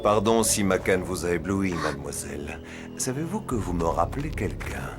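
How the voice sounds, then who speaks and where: A menacing gentleman villain for an animated series. His voice is low, and his courtesy carries quiet threat. Music plays clearly behind him. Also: moderate pace. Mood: menacing, courteous. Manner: smooth politeness with quiet menace. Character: sinister, courteous male villain with a cane. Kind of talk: French animated series dubbing.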